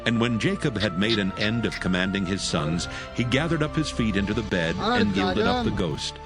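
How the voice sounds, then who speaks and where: A man's voice: lowish voice, big pitch swings, normal speed. A man narrating scripture as the storyteller of a dramatized audio Bible. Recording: music under the voice.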